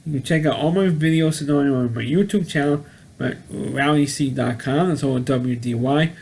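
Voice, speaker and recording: A man giving a casual, unscripted video review as host, rambling and halting, often repeating words and phrases. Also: mid-range voice, vocal fry, a little mellow.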